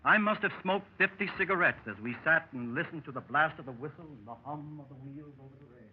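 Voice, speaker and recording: A man's voice: fairly high pitch, fast, slight background noise, close to an everyday voice. An actor playing a dashing lieutenant adventurer telling his escapade with old-fashioned exclamations, in 1930s radio style. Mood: reflective. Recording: muffled 1930s radio recording with music.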